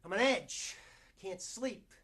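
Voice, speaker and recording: A man performing a stage monologue as a desperate, newly clean struggling actor, halting and self-interrupting as he pleads and bargains. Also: high voice, full character voice. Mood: edgy, defensive. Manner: halting, self-interrupting, swinging between hushed confession and outburst.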